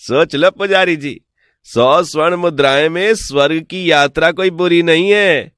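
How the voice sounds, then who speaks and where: A man voicing a sly, smooth-talking village swindler in an animated folk tale, persuasive, boastful and falsely respectful. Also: a little high, measured pace, dry recording, studio quality.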